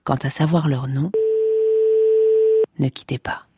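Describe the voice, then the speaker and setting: An actor playing a mock-dramatic, deadpan narrator of a comic podcast fiction, speaking conspiratorially. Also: male voice, medium pitch, takes it slowly, heavy background noise. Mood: mock solemn. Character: mock-solemn tongue-in-cheek noir narrator.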